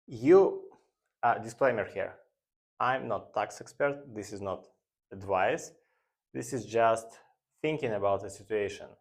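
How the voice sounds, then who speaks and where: Slow, halting male finance instructor. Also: fairly low pitch. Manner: halting, deliberate delivery in short phrases. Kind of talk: explanatory lecture.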